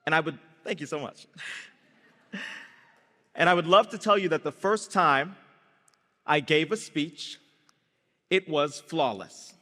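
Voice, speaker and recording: A high, bright young man's voice carries through a large reverberant event hall. He is a founder from Brazil, raised in Guyana, telling his story to a live audience. He uses quick jokes and calls to the crowd, with the polish of a trained public speaker. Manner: energetic storytelling, comic timing, addresses the crowd. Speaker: startup founder giving a gala speech. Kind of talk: live stage speech with audience call and response.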